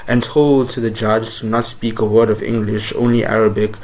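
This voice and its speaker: A man's voice: lowish voice, flat delivery, moderate pace, darkish tone, poor audio. A man with a South African accent delivering an opinionated political monologue, heated and profane, often stopping and restarting his sentences. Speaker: political commentator.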